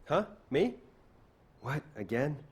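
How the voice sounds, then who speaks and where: An actor playing a reluctant but obliging chef in a video game, casual, expressive and given to griping. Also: male voice, fairly high pitch, slow pace, breathy edge, full character voice. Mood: grumbling.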